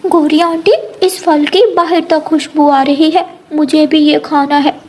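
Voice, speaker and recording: Woman as a high, whiny young boy bird. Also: little pitch movement, measured pace, a little mellow. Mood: greedy, insistent. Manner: childlike, insistent whining. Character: childish, demanding young boy bulbul bird.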